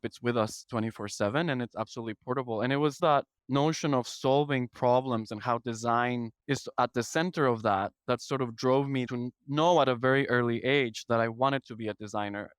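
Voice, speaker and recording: A man's voice: mid-range voice, smooth, no room sound. Man, 30s, Ecuadorian accent, podcast guest, resonant, dry studio sound. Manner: thoughtful, articulate, lists ideas in sequence. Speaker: podcast guest, product executive. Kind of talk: conversational interview answers.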